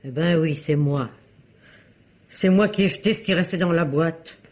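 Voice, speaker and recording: A woman's voice: low-pitched, normal speed, a little mellow, soft background music. A woman playing a loyal, plain-spoken servant in a mystery radio play, stubborn and protesting as she explains herself. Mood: defensive. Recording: dull, muffled vintage-style radio recording.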